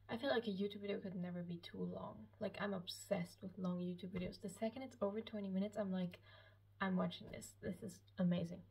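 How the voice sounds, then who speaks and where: A woman's voice: medium pitch, darkish tone, slightly rough. A seventeen-year-old woman from Austria, a German speaker, chatting casually to camera in a hesitant, rambling, self-conscious way. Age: 17, late teens. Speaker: YouTube vlogger. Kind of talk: casual chat to camera, personal Q&A. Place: small lived-in room at home, indoors.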